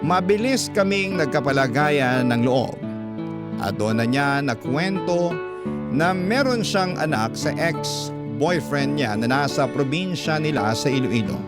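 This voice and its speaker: A man with a Filipino accent reading a scripted first-person love story for radio in an earnest, measured way. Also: a little high, lively intonation. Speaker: storyteller reading a listener's letter. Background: guitar music bed.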